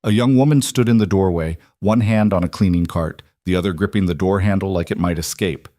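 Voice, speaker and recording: A man reading a dramatic story as its narrator, in a low, steady voice. The recording is dry and close, with no room around it. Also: a little fast, no room sound.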